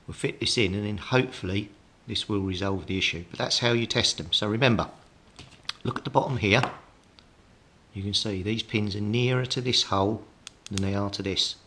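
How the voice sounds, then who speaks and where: A man's voice: lowish voice, normal speed, sharp tone, slight background noise. A British man talking through hands-on electronics repair as a hobbyist host, practical and explaining things step by step. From Britain. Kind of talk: hands-on repair tutorial, talking while working.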